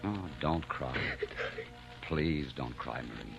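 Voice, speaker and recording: Crown prince: tender, gallant lover, very low voice, muffled vintage radio drama over music. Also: male voice, lively intonation, slow, creaky, full character voice. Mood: tender, pleading. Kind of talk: 1940s radio romance acting.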